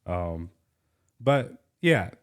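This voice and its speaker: A man's voice: low voice, sing-song, very slow, smooth. A thirty-year-old man chatting casually and informally as a guest on a podcast.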